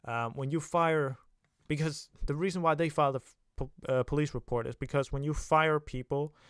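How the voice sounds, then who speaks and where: A young man chats loosely on his livestream, stumbling through thoughts with plenty of filler sounds. His voice is smooth and clean at a middle pitch, recorded dry and close. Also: no echo. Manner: rambling, hesitant, many filler sounds. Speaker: livestream host. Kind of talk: casual livestream chat.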